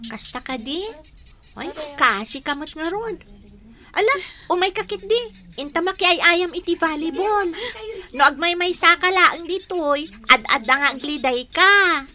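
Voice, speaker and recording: An actress playing a chatty, caring child playmate in a radio drama, inquisitive and coaxing. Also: female voice, extremely high pitch, lively intonation, a little slow, sharp tone, heavily acted. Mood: coaxing, cheering up.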